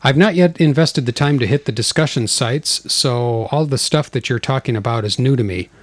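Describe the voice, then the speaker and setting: A man's voice: medium pitch, normal speed, dry recording. A man hosting a casual podcast, joking and laughing often as he reads listener mail aloud. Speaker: podcast host.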